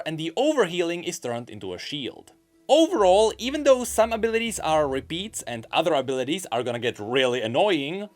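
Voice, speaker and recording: A man narrates gaming news in a fairly high, animated voice that swings up and down in pitch. His delivery is scripted, with dry, sarcastic asides, over faint background music. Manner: wry, dryly sarcastic asides. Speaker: host of a gaming news show. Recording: music behind the voice.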